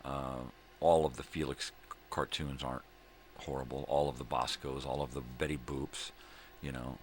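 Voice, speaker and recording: A middle-aged man with a gravelly voice, rambling casually and folksily as he hosts a morning vlog, often repeating himself. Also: level tone, moderate pace. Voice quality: gravelly, very deep. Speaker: host of a daily vlog. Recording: clear background room noise.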